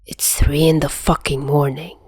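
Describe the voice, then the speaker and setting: A grumpy young mafioso in an audio drama, voiced by a woman. He sounds sardonic and growly, rather low, with a sharp, sarcastic bite. The recording is dry. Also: level tone, normal speed, breathy edge, dry recording. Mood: sleepy grumbling.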